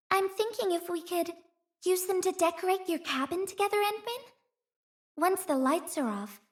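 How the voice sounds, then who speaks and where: Very high-pitched, dreamy young courier girl. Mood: dreamy, romantic. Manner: playful, airy, sweetly expressive. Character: cheerful, romantic, dreamy young female courier. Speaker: video game voice actor. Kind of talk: game character voice lines.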